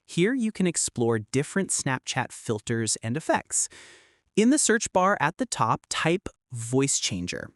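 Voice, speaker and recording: A man narrating a scripted how-to tutorial as its host, giving clear step-by-step instructions. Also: mid-range voice, very animated, measured pace, fairly bright tone, no echo, studio quality.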